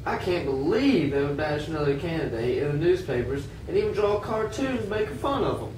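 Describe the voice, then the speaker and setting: Man acting high-voiced disgruntled working man, echoey. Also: sing-song, a little fast, a little mellow. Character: disgruntled 19th-century American working man, sceptical of politics. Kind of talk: amateur scripted puppet show. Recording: echoey room, distant mic, faint noise.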